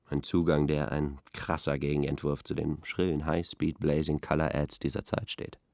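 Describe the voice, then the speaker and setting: A man's voice: extremely low pitch, flat delivery, normal speed, vocal fry, a little mellow, no echo, clean studio sound. An actor playing an earnest, pompous male intellectual who analyses like an academic in a radio play. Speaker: expert guest on a radio discussion. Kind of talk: radio play acting as an interview.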